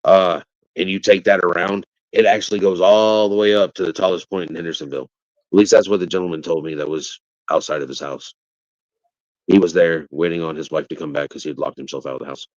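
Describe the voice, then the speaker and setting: A father answering interview questions, halting and rambling at times and rising to forceful defiance at others. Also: low-pitched, fairly even intonation, no room sound, dull audio. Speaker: father of a missing child, interviewee.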